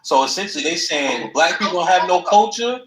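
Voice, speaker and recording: A man's voice: sits high, lively intonation, fairly quick, a bit bright. A man casually explaining his view in slang during a discussion. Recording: echoey room.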